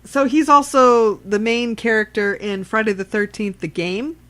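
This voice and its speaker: A woman's voice: on the high side, fairly even intonation, normal speed, fairly bright tone. Woman podcast host, casual and prompting. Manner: prompting with questions, explaining. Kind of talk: casual unscripted chat about horror films.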